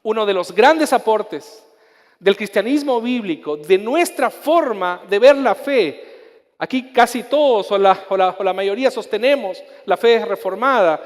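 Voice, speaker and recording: A male pastor with a Chilean accent, preaching with passion, repeating himself and restarting phrases, then praying softly and intimately. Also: high voice, lively intonation, unhurried, in a large hall. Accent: Chilean Spanish. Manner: impassioned preaching with repetitions and restarts; soft, intimate prayer. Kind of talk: sermon ending in prayer.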